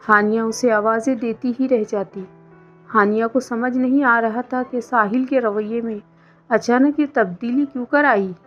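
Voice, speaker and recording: A woman tells a scripted romantic drama story. Her voice sits a little high. The recording is slightly dull and lo-fi, with soft plucked string music behind her. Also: steady tone, a little slow. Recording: dull lo-fi sound under music.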